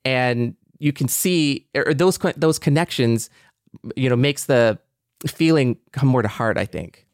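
An American man co-hosting a podcast discussion, speaking in a measured, reflective, slightly halting manner. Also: mid-range voice, no room sound, clean studio sound. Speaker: podcast co-host.

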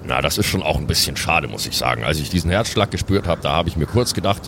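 A man's voice: extremely low pitch, animated, fast talker, creaky, noisy background, heavily acted. A man playing a grumpy, battered dwarf adventurer, indignant and blustering, in an improvised audio play. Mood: rueful.

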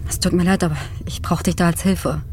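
An actress playing a brisk, duty-driven spaceship commander in a naturalistic audio drama, with a firm, matter-of-fact manner. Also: female voice, fairly low pitch, fairly even intonation, over music, natural voice. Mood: firm, pressing.

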